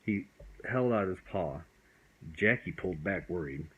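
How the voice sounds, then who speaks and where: A man's voice: sits low, animated, unhurried, husky, lo-fi sound. A man reading a bedtime story aloud as storyteller, expressively and with the odd stumble over words.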